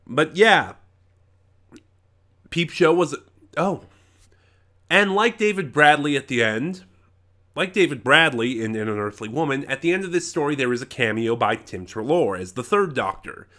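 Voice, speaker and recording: Bright-voiced man giving a casual review. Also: fairly high pitch, lively intonation. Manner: opinionated, rambling, halting with self-corrections. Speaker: reviewer, channel host. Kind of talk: casual unscripted audio drama review.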